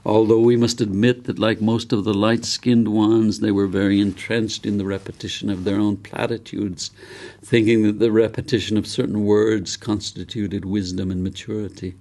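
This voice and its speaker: A man voicing the most ancient elder of a village council, solemn, hopeful and deliberate in his oratory. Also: lowish voice, flat delivery, dark tone, lightly acted.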